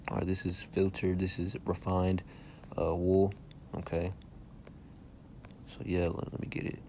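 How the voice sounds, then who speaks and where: A young man preaching casually from scripture with a soft voice, checking in with "okay?" and "all right?" after nearly every phrase. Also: sits low, little pitch movement, a little slow, gravelly, a little hiss or hum. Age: young adult. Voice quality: soft-spoken by his own account. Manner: casual and insistent, often says "man". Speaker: bible teacher.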